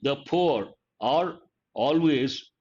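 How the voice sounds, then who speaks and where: An older man with an Indian English accent teaches an online English grammar class, calling on students by name, asking questions and repeating the key points slowly. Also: fairly high pitch, animated, dark tone. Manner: addresses students by name, asks and answers his own questions. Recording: dull lo-fi audio.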